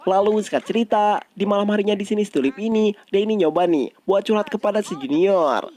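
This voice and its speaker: A man's voice: sits high, fast talker, darkish tone. A man with an Indonesian accent narrating a story recap in an informal, scripted style.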